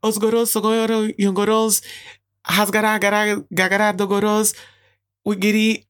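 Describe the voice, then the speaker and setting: A man's voice: high-pitched, level tone, measured pace, a bit bright. A man with a Brazilian accent hosting a casual chat, playfully humming garbled song lyrics for a guessing game and laughing often.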